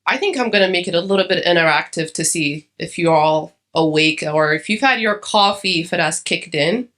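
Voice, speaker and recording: A woman's voice: on the low side, fairly quick, fairly bright tone. Chatty woman speaker from Ethiopia. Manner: conversational, many fillers and restarts. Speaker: conference speaker. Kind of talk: conference talk. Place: conference hall.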